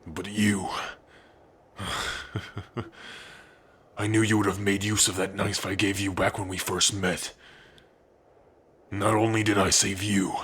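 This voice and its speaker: A man voicing a gruff, fierce but tender orc warrior in an ASMR roleplay, with a husky, gravelly voice. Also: lowish voice, level tone, slow pace, pauses often, a bit bright, a little hiss or hum. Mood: relieved, tender. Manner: menacing to foes, tender with loved one.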